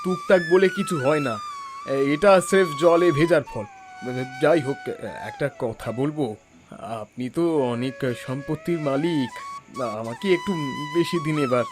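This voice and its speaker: A man voicing a scheming, greedy village doctor in a cartoon, with smooth, wheedling bedside talk. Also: a little high, huge pitch swings, moderate pace, pauses often, music in the background. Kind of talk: cartoon voice acting.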